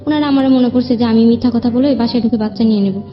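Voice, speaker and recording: A woman's voice: on the high side, fairly even intonation, rapid-fire. A young woman with a Bangladeshi accent giving a plaintive, rambling account of her own life as a guest. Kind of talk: personal spoken account. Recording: long reverb, dull muffled sound, music underneath. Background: background music.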